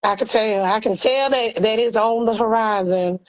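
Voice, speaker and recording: A hoarse woman co-hosting a casual video-call chat, sassy and animated. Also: on the high side, normal speed, rough recording. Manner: sassy, animated, calls people girl and honey. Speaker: co-host.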